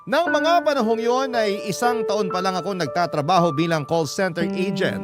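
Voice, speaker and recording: A man's voice: high voice, normal speed. A man giving earnest, scripted first-person storytelling narration for a radio drama. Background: prominent background music.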